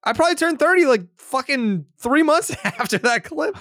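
A man's voice: very high voice, lively intonation, normal speed, pushed and bright, no room sound, clean studio sound. A man in his thirties co-hosting a casual sports podcast, loose and joking, swearing freely. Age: 30s. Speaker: podcast co-host.

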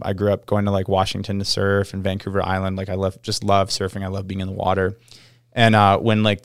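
Canadian man, low smooth voice, casual podcast chat, surfer slang, dry close sound. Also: no echo. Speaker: podcast guest, pro snowboarder. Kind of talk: casual chat, enthusiastic explaining.

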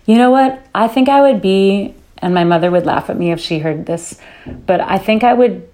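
A woman's voice: mid-range voice, moderate pace, dark tone. A woman who was born in London and raised in New York City, chatting as an interview guest and thinking aloud with restarts. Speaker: guest singer. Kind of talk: casual interview chat.

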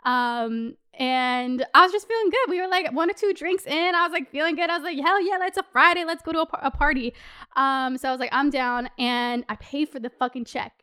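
High-pitched young woman telling stories. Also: fairly quick, no echo, studio quality. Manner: chatty, animated, heavy use of "like" and swearing. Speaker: podcast host. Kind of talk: candid casual storytelling.

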